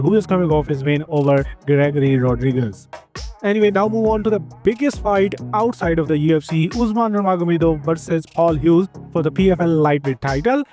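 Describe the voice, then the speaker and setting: A man's voice: sits high, darkish tone. A man with an Indian accent hosting a combat sports news update in scripted narration. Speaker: host of an MMA news channel. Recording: background music under the voice. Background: music.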